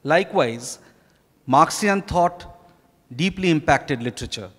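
Middle aged indian guy, highish voice, really slow and halting, big hall reverb. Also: animated, fairly bright tone. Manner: slow, deliberate, halting delivery. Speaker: author being interviewed. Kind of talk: reading prepared remarks in an on-stage interview. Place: hotel ballroom stage. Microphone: handheld microphone. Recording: echoey ballroom with long reverb.

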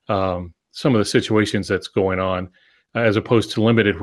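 A man in his sixties explaining things conversationally as a podcast co-host, in a measured, halting way with pauses mid-phrase. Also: lowish voice, a bit bright. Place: home office, indoors. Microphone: video call with earphones.

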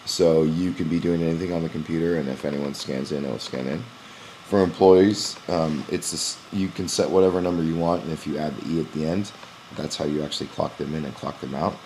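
A young man who runs a gym, walking through a software screen recording in a casual, explanatory way with frequent "um" pauses, recorded on a webcam at his desk. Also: rumbling low, steady tone, a little hiss or hum, poor audio. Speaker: gym owner demonstrating software. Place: small indoor room at a computer. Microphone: webcam in front of the face.

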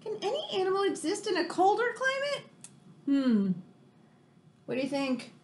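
An American woman in her thirties reading a picture book aloud like a teacher to children, expressive and exclamatory, with chatty questioning asides. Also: extremely high pitch, normal speed. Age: 30s. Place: room in a home, indoors.

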